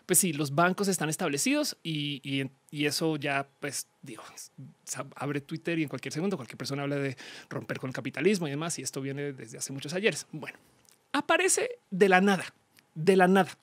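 A woman in her thirties with a Colombian accent, a podcast guest explaining things casually with slang and acted-out exchanges, on a studio boom mic. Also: brisk, dry recording. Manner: animated, slangy, acts out quoted exchanges. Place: small indoor studio. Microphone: studio mic on boom arm, headphones.

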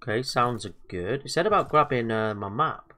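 A man sounding a little bunged up from a cold chats through a game as he plays. He talks casually, trails off, and fills gaps with ums and uhs. His pitch sits at an ordinary middle height for a man. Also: expressive, normal speed, pushed and bright. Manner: chatty, hesitant, lots of fillers and self-talk. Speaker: gaming video host. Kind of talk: casual let's-play commentary.

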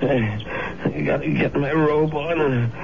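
A man playing a sickly, sly young man turned murderer in a 1970s radio drama, breathless and stammering with gentle menace. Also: on the high side, takes it slowly, creaky. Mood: menacing. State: winded and sickly. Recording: muffled old radio broadcast with hiss.

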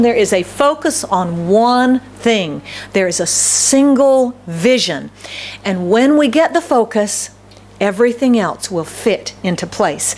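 A middle-aged American woman pastor preaching a sermon from a podium in a church hall, with a loud voice, repeating key phrases and asking the congregation questions. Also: a little high. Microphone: podium microphone.

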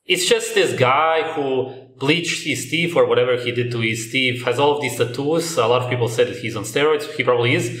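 A man commenting bluntly over video clips, speaking in a bright apartment room. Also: medium pitch, brisk, few pauses. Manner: dismissive, blunt critique. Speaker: reaction video host. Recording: roomy echo.

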